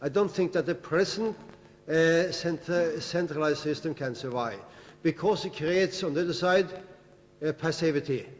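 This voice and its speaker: A man's voice: a little high, normal speed. A man on a debate panel, building a reasoned, argumentative case in reply to a question. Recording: hall reverb at a festival debate.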